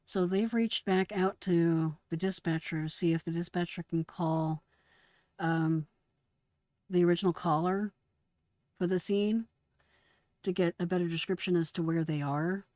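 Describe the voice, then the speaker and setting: A middle-aged woman with a fairly low voice talks casually as she explains things. The sound is dry and close, with no room on it. She comes across as a host walking listeners through radio calls. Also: fairly even intonation, a little slow, pauses often, a little mellow, dry recording. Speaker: host, retired emergency dispatcher.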